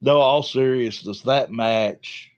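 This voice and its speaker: Male podcast host, Southern US accent, folksy casual wrestling talk, mid pitch, dull lo-fi recording. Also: slow pace. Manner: folksy, colloquial storytelling. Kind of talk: casual wrestling recap chat.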